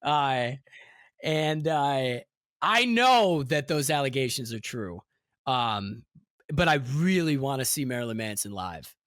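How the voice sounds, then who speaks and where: Lively, joking young man co-host. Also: mid-range voice, slow, no room sound, studio quality. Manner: animated, jokey, breaks into mock voices. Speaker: podcast co-host. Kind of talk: casual chat.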